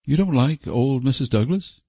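A man's voice: mid-range voice, animated, normal speed, mellow, husky, dry recording, studio quality, pitched above the performer's own voice. A man voicing a polite, dignified young woman schoolteacher in a storybook, with a proper, prim manner. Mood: curious. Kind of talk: audiobook character voice.

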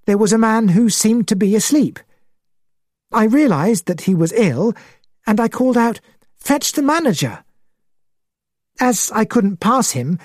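A man voicing an excitable, shocked middle-aged schoolteacher with a high voice in a storybook reading. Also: no room sound, studio quality, full character voice. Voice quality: high-pitched. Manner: excited, anxious.

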